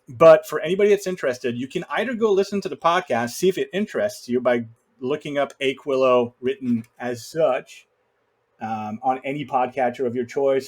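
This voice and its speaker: A man with an average-pitched voice talks as a guest on a podcast. He is an author and explains things conversationally, in an informal chat style.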